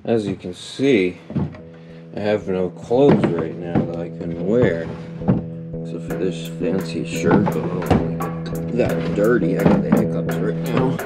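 Slow, rambling young man vlogging. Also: low-pitched, over loud music. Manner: relaxed, rambling, lots of fillers. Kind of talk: casual vlog narration. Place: apartment indoors, then outdoor park by a lake.

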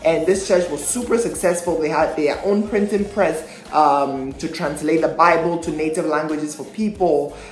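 Animated young man hosting church history. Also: a little high, moderate pace, few pauses, reverberant, low-quality recording. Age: young adult. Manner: animated, gestures a lot, asks the viewer questions. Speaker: church history video host. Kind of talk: energetic church history explainer. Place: small indoor room, plain wall.

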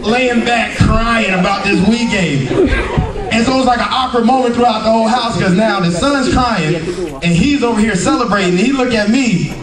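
An American man in his thirties performing animated, colloquial stand-up storytelling for a church audience. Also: high voice. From United States. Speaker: stand-up comedian. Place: indoors in a church sanctuary. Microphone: handheld mic through speakers, picked up by far camera. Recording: echoey church hall, distant camera, loud audience. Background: loud laughing audience.

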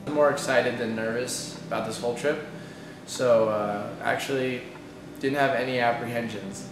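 A man's voice: medium pitch, fairly even intonation, moderate pace, far from the mic, background noise. Candid young man in an echoey room. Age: 20s. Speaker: exchange student. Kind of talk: interview answers.

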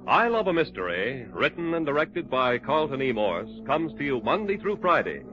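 A man's voice: mid-range voice, very animated, moderate pace. A man announcing an old-time radio serial from a script. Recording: muffled vintage broadcast, prominent music. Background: music.

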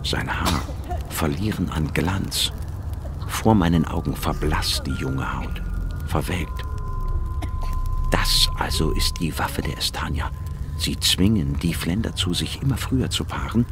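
An actor playing a scientist who narrates a radio drama gravely in the first person. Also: male voice, extremely low pitch, huge pitch swings, unhurried, heavily acted. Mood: hushed horror. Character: grave male scientist narrating a dreadful alien discovery. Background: music.

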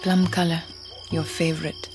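Flirty intimate wife in a radio play, woman acting, low even voice, music behind. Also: moderate pace, lightly acted. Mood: flirtatious.

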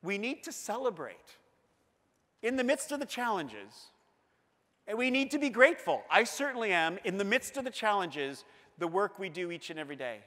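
A man in his fifties with a Canadian accent delivers an earnest, emphatic keynote, pacing the stage on a lapel mic. His voice sits high and sounds bright and forceful. A long hall reverb trails behind each phrase. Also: sing-song, normal speed. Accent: Canadian English. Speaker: school board director giving a keynote. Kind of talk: conference keynote speech. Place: large conference hall.

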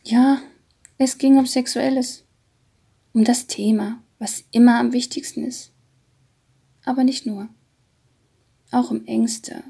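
A woman narrates a literary audiobook in a hushed, half-whispered tone. Her voice is soft and mellow, very breathy, and stays fairly even in pitch. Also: slow, long pauses.

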